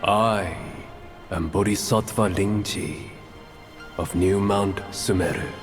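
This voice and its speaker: A solemn Buddhist holy figure in a video game. His voice is deep and slow, measured and formal as he tells his tale. Music runs clearly behind him. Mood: grave. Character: solemn male Buddhist bodhisattva, stately and grave. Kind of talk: scripted game dialogue, expository.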